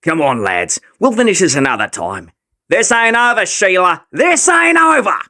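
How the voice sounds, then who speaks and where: A sneering teenage Aussie bully for a comic dub. His voice is bright and brash, lurching all over in pitch as he drawls out exaggerated, blustering taunts. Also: sing-song, moderate pace, heavily acted. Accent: Australian. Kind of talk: comic fandub acting.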